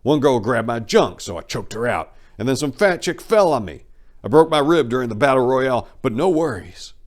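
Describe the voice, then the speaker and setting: A man narrating a first-person memoir as a dramatic reading, with an expressive storytelling delivery and emphatic swings. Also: mid-range voice, normal speed. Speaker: audiobook narrator.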